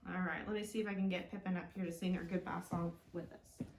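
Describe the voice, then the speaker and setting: A woman leading a children's storytime as a librarian, reading aloud expressively and talking gently and simply to young listeners. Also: lowish voice, steady tone, fast talker, gravelly, noisy background. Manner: gentle, simple, encouraging talk aimed at small children. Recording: roomy, echoing indoor sound.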